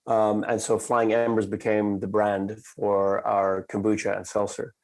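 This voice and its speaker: A man from the Netherlands, a company cofounder, telling a story in a measured, reflective way and slowing down for emphasis. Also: lowish voice, completely flat delivery. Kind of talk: conversational storytelling.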